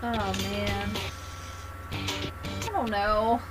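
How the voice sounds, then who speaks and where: A woman's voice: medium pitch, fairly even intonation, very slow, rough recording. A woman streaming a game, reacting expressively with exasperation and reading dialogue aloud, with music behind.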